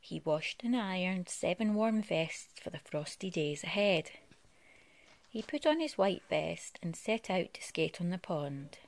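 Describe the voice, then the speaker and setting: Low-voiced woman reading a children's storybook. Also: expressive, unhurried. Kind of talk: read-aloud children's storytelling. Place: living room at home.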